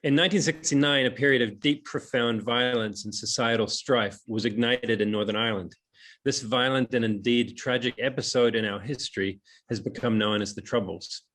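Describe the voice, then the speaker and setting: A man in his forties with a New Zealand accent softened toward transatlantic, reading a prepared talk over a home video call in a measured way. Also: medium pitch. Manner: hesitant and chatty at the start, then reads measured prepared text. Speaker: webinar speaker. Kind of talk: reflective prepared talk on a webinar. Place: room in his house overlooking a lough.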